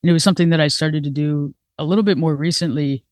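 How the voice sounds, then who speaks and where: Colombian-born new york producer guy, kinda high voice, dry close sound, relaxed. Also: fast talker, no echo. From Colombia, raised in New York. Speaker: music producer panelist. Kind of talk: casual panel answer.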